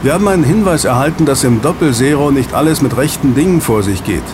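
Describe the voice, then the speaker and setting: Guy acting a friendly snack bar boss in an audio play, soothing, explains stuff, clear traffic noise. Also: mid-range voice, expressive, fast, lightly acted. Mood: reassuring. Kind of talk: German audio drama dialogue. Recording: clear street and traffic ambience. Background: outdoor city street with vehicles.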